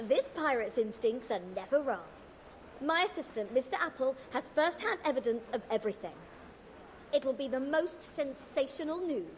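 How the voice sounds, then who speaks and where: A woman's voice: high-pitched, lively intonation, moderate pace, slight background noise. A woman playing a brash young pirate DJ captain in a video game, boastful and grandiose, broadcasting theatrically. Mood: triumphant, smug. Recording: loud music behind the voice. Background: loud music.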